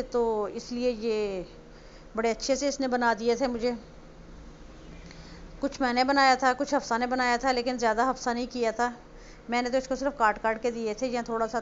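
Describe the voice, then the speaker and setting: A woman's voice: a little high, level tone, normal speed. A woman from Punjab, Pakistan, casually explaining cake decorating step by step in a homely, chatty way. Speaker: home baker teaching cake decorating. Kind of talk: casual voice-over tutorial. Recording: slightly muffled voice-over with faint noise.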